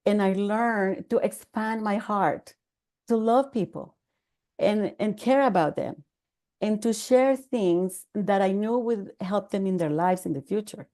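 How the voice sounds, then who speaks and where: Older Salvadoran woman with a mellow voice. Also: mid-range voice. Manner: measured, earnest storytelling with fillers. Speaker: podcast guest, author. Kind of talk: conversational interview.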